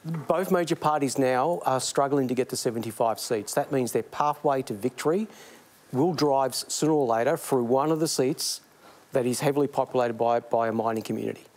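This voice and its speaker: A political strategist talks through electoral realities in a measured, analytical way. His voice sits in a middle range. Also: medium pitch, darkish tone. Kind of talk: panel debate.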